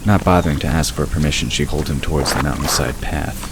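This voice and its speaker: Very deep-voiced male narrator. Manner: measured, even storytelling. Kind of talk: scripted audiobook narration. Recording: hissy recording with bird ambience.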